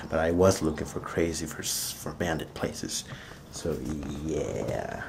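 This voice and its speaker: A young man vlogging as he explores an abandoned house, talking excitedly to his viewers, recorded on a handheld camera. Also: rumbling low, measured pace, gravelly, very noisy. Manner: excited, addresses viewers as fams. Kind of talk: casual exploring vlog. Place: outdoors and inside an abandoned rural house. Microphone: handheld camera at arm's length.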